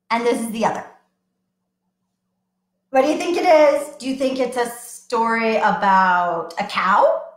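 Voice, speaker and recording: Woman, middle-aged, children's librarian at home; high-ish, sing-song storytime delivery, roomy echo. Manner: bright, sing-song, addressing small children. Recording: echoey room.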